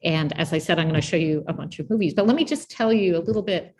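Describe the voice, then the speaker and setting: A soft-spoken middle-aged woman with a quiet voice, giving a friendly, informal public science talk as guest speaker. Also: on the low side, quick pace.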